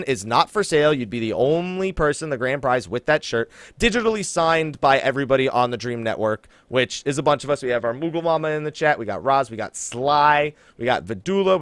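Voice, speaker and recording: Animated American man hosting a gaming podcast. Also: mid-range voice, dry recording. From New Jersey, USA. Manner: jokey, informal, breaks off to laugh and ad-lib. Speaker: podcast host. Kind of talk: casual gaming podcast talk and announcements.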